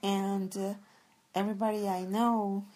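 A woman's voice: medium pitch, level tone, measured pace, dark tone. A woman from El Salvador, a guest speaking reflectively and searching thoughtfully for her words. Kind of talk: reflective conversation.

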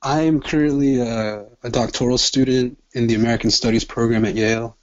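A man's voice: mid-range voice, steady tone, a little fast, dull audio. A man in his twenties, born in El Salvador and raised in Los Angeles, speaking as a guest in a thoughtful, reflective way with frequent restarts. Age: 20s. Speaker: guest, doctoral student. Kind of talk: conversational interview.

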